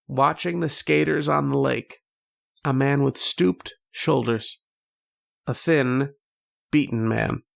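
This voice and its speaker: An actor playing a tormented, homesick man trapped in a dream, brooding and halting in an old-time radio horror drama. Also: medium pitch, creaky. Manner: brooding, halting, poetic delivery.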